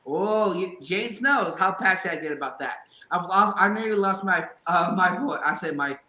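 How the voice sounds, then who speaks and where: A man with a high, bright, forceful voice co-hosts a sports talk podcast. His talk is heated and ranting, and it spikes into shouts. He is recorded in an echoey room. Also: animated, normal speed. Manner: fired-up ranting, bursts into shouts. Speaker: podcast co-host.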